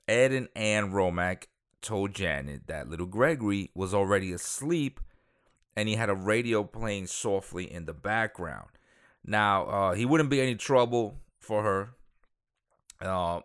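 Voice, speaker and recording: Male true crime host, lower register, smooth tone, rambling casual delivery full of fillers. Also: fairly bright tone, no echo, studio quality. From Dominican Republic, raised in Queens. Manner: rambling, full of fillers and self-corrections, chuckles aside. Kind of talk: casual storytelling.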